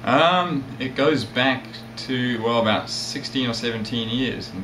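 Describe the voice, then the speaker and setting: A man of about thirty with a mixed South African, English and Australian accent, chatting casually and a little haltingly as an interview guest. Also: mid-range voice. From South Africa, with years in England and Australia. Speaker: interview guest, ukulele player and magazine editor. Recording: roomy echo, steady background noise.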